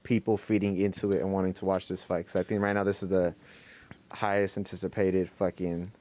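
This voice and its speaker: A man's voice: sits low, little pitch movement, a little fast, darkish tone. A young man co-hosting a podcast, talking in a laid-back, casual way, swearing and trailing off into "you know what I'm saying.". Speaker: podcast co-host. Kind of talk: casual chat.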